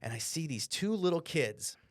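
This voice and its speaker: An American man from Cleveland telling stories casually on a podcast, animated and profane, with bro-like slang. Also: fairly high pitch, normal speed, no echo, clean studio sound. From Cleveland, Ohio, United States. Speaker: podcast co-host. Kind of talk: casual storytelling.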